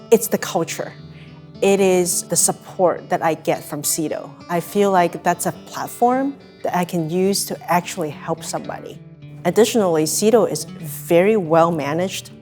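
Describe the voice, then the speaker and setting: A woman's voice: on the low side, a little mellow. Woman from Hong Kong, music behind her. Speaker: volunteer attorney. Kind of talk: testimonial interview.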